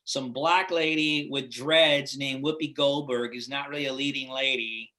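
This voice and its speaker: A man's voice: medium pitch, fairly even intonation, moderate pace, pushed and bright. A middle-aged man from Los Angeles with a laid-back Californian accent, chatting loosely as an interview guest, with a lot of "like" and "right?" in his talk. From Los Angeles, USA. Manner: rambling, full of "like" and "right?". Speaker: guest actor. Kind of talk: casual interview chat.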